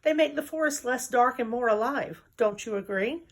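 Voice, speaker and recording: A woman voicing a small, kindly old male dwarf who coaxes gently. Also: a little high, normal speed, voice pushed up. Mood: coaxing. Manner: coaxing, gently insistent.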